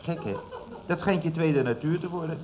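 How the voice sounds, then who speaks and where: Guy playing smug earnest architect in old radio comedy, kinda high voice, bit of noise. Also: normal speed, darkish tone, close to an everyday voice, poor audio. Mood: self-satisfied. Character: self-satisfied, earnest young male architect employee.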